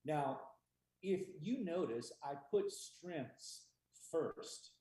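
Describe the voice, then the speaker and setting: An American man giving a conference talk on teaching, speaking emphatically and stressing key words slowly. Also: a little high, lively intonation, dark tone. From United States. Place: remote conference stream. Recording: echoey room.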